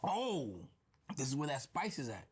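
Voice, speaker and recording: A young man with a Dominican accent, chatting excitedly and joking as he tastes and plates food in a restaurant kitchen for his stream. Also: on the high side, normal speed, slightly rough. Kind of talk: casual food vlog chat.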